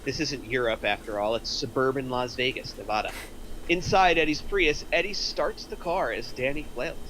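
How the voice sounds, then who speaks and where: Bright-voiced man narrating a screenplay. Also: medium pitch, moderate pace, a little hiss or hum. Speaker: narrator reading stage directions. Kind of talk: scripted narration at a screenplay table read.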